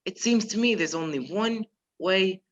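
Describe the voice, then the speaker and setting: A performer playing a polite, measured male professor who is secretly a menacing spy chief in a radio play. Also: mid-range voice, fairly bright tone, close to an everyday voice. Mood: quietly menacing. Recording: dull lo-fi virtual recording.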